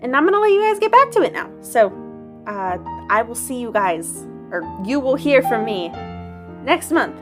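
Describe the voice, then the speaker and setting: A woman's voice: high-pitched, very animated, moderate pace. A woman hosting a show, giving a casual, friendly, chatty outro announcement. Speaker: show host. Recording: talk over clear music. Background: music.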